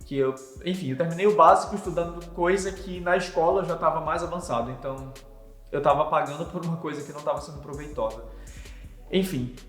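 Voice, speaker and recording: A man's voice: a little high, quick pace, a bit bright. A young man from Manaus with a Manaus Brazilian Portuguese accent, answering viewer questions in a chatty, earnest vlog. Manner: chatty, earnest, religious talk. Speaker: vlogger and missionary. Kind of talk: casual Q&A vlog. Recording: echoey room with long reverb, faint music.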